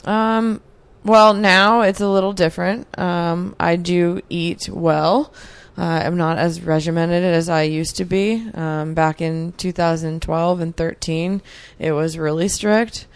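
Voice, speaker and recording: A woman's voice: lowish voice, steady tone, takes it slowly, hardly any pauses, clean tone. A woman in her mid-thirties, a guest answering interview questions in a reflective, earnest way with many fillers. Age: 30s. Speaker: podcast guest, athlete.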